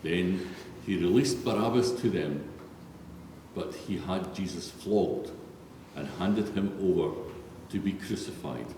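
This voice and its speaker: A man's voice: low-pitched, unhurried, long pauses, mellow. A man narrating a solemn scripted Bible reading in a large church. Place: large reverberant church, read aloud. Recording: echoey church hall, distant, faint noise.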